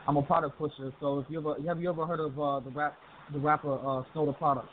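A man from the southern US calling into a talk radio show, relaxed and full of fillers like "uh" and "like". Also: a little high, quick pace. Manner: laid-back, many fillers. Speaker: caller. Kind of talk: call-in talk radio chat. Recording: phone-line audio with faint hiss.

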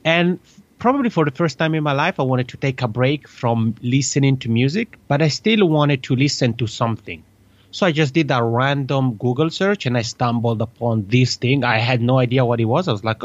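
A man's voice: medium pitch, expressive, no room sound. Chatty Italian-accented man, dull dry audio. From Italy. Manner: cheerful, chatty, quick with laughs. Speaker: podcast guest. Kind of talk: casual interview chat.

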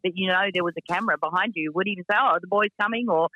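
A woman with a deep voice who owns a racing team, talking frankly with lots of fillers in a radio interview. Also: rapid-fire, bright, forceful tone, no room sound, highs cut off. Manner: frank, chatty, many fillers.

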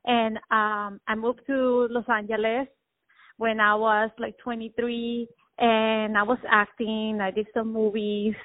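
A woman from Colombia chats freely as a guest on a phone interview, her stories tumbling out, peppered with "like." Her voice is on the higher side. The line is tinny and phone-like, dry with no room sound. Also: little pitch movement, no echo. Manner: chatty, fast-flowing, many "like"s. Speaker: guest artist. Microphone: telephone.

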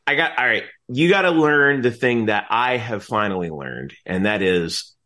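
A man's voice: mid-range voice, moderate pace. A middle-aged man talking casually as an interview guest, explaining in a rambling way and often interrupting himself mid-thought. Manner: rambling, animated explaining with frequent self-interruptions. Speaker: interview guest, announcer and teacher.

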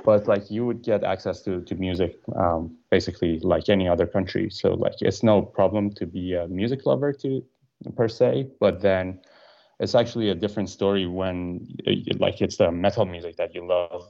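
A young man from Iran with a very deep, mellow voice answers questions hesitantly, stopping often for fillers and restarts. The audio is slightly muffled, like a remote call. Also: fairly even intonation, normal speed, vocal fry. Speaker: guitarist and producer. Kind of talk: casual interview answers.

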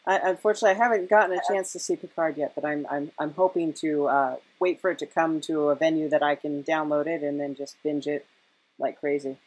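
Husky-voiced woman interviewing casually. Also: low-pitched, steady tone, fairly quick, darkish tone, low-quality recording. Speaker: podcast interviewer.

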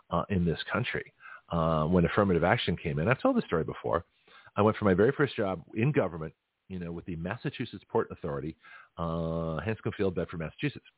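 Low husky man on a tinny phone line. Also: no echo. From Canada. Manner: rambling, with frequent restarts and chuckles. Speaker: radio show host. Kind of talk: casual political talk radio monologue.

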